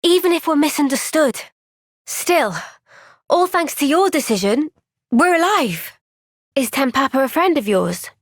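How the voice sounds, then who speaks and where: Earnest young soldier, high, unhurried voice. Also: female voice, animated, no echo, clean studio sound. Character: earnest, thoughtful young woman, a soldier in fantasy game. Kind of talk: video game cutscene voice acting.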